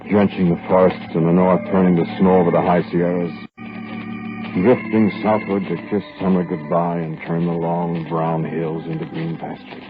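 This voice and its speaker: An actor playing a grim man on the run with stolen money, narrating in a low, measured way in a 1940s radio drama. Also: close to an everyday voice. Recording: tinny old radio broadcast with faint music.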